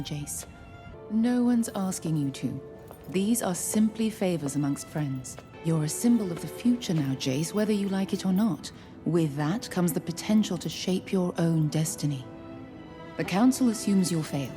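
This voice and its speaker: Woman acting as calculating fantasy cartoon noblewoman, kinda low voice, measured n formal, music playing behind. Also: animated, natural voice. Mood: shrewd, confiding. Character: poised, ambitious, persuasive noblewoman councillor in a fantasy city. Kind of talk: animated drama series acting.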